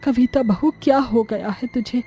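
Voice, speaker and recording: A woman voicing a kindly, calming older mother-in-law in an animated story, gently reproving. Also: a little high, normal speed, soft background music, close to an everyday voice.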